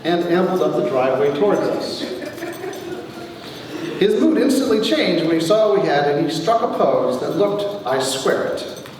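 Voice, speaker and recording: A middle-aged man giving a wry, theatrical reading of his own comic memoir as its author, with deliberate pauses, recorded in a large echoey hall from a distance. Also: fairly high pitch, big pitch swings, a little mellow. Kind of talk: dramatic comic memoir reading to a live audience. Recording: echoey hall, distant mic, faint noise.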